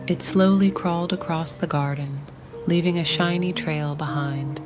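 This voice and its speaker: A woman narrating a calming bedtime story for toddlers in a soothing, gentle manner, with long pauses. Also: sits low, music in the background.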